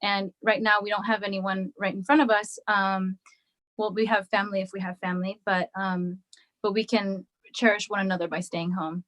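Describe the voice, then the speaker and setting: Soft-spoken woman, halting, full of ums. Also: medium pitch, flat delivery, poor audio. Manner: soft-spoken and hesitant, with many fillers and restarts. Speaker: singer and podcast guest. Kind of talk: casual video-call conversation. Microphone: video call.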